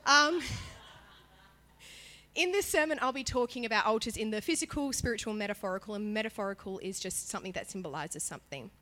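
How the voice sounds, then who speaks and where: A woman worship leader giving a sermon in a church, chatty and animated in her emphasis, her voice partly gone from illness. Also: medium pitch, fairly quick. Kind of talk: sermon with prayer.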